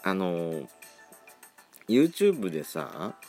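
A man's voice: sits low, sing-song, drawn-out words, a little mellow. A man around forty hosting a casual solo podcast, chatting with campy, feminine phrasing. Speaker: podcast host. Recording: faint background music.

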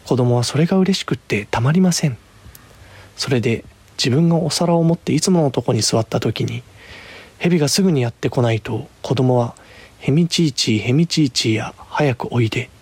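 Male storyteller, husky tone, mid-range pitch, reading scripted narration. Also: lively intonation, fairly quick, a little mellow, no room sound.

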